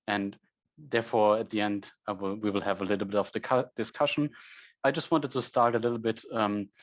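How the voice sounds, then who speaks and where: A young man in his twenties from Germany, speaking English with a German accent, giving a conference presentation and filling pauses with frequent ums. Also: fairly low pitch, highs cut off. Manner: frequent ums, self-corrections.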